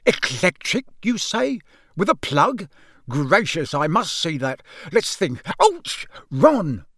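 A man voicing a cheerful, flustered middle-aged wizard father in a storybook, excitable, rambling and apologetic. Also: a little high, slow, no room sound, clean studio sound, exaggerated voice. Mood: excited.

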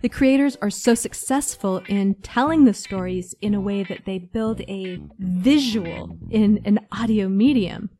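Mellow woman reading out her written recommendations, articulate, into it, soft guitar behind. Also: medium pitch, unhurried, few pauses. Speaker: listener reviewing favourite audio dramas. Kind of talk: scripted review narration. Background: faint plucked guitar music.

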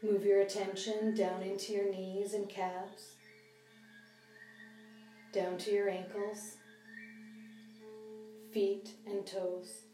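A woman leading a guided body scan meditation as a mindfulness teacher, speaking gently and leaving long, unhurried pauses. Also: medium pitch, level tone. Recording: distant, roomy sound with soft music.

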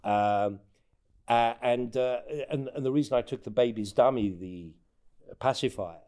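A man speaking as an interview guest in casual anecdotal talk, often halting and repeating words as he goes. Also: mid-range voice, darkish tone. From born in North Africa, has lived in England and the United States. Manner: halting, stammered repetitions of words. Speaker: interview guest, former presidential candidate.

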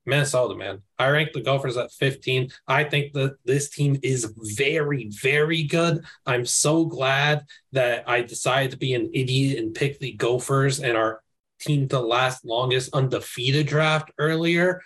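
A man's voice: mid-range voice, measured pace, fairly bright tone. A man in a casual sports podcast chat, talking emphatically and with casual slang. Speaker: college football panelist.

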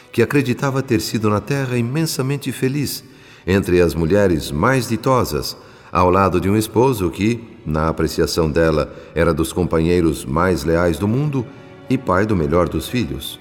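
A man with a Brazilian accent reading scripted radio drama narration in a solemn, literary, measured manner. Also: deep voice. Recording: long reverb, music bed behind.

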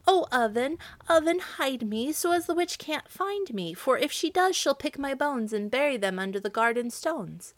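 A woman voicing a kind little girl begging to be hidden, in a high pleading voice. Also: lively intonation, normal speed, hardly any pauses, no echo.

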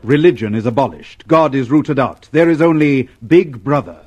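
A man's voice: medium pitch, moderate pace, a little mellow, muffled recording, faint music behind. A man giving dramatic scripted narration for a radio play in a solemn, declamatory way. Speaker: narrator and announcer.

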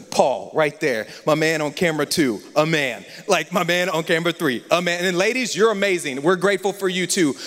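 A young adult man from the United States preaching a sermon, moving between loud, lively passages and hushed, confiding ones. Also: on the high side. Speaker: pastor. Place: large church hall. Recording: church hall reverb.

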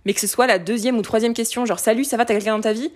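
A woman's voice: on the high side, very fast. Candid woman in her late twenties. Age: late 20s. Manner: candid, animated, many fillers and restarts. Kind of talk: casual conversation.

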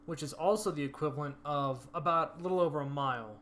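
A man chatting casually about a hike, relaxed and quick to laugh. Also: on the high side, slight background noise. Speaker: hiker recounting a trip. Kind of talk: casual chat.

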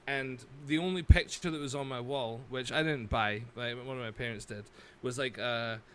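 A man's voice: medium pitch, fairly even intonation, normal speed, slight background noise. A Scottish man telling rambling stories as a guest on a casual podcast, laughing as he goes. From Scotland. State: tipsy.

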